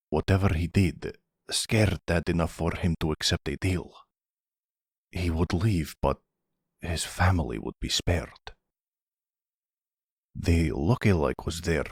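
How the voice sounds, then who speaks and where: A man plays a troubled young mobster's son in an audio roleplay. The voice is very low and breathy, captured close and dry. He speaks haltingly with stiff politeness, anxious and weighed down by guilt. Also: a little mellow, no echo, exaggerated voice.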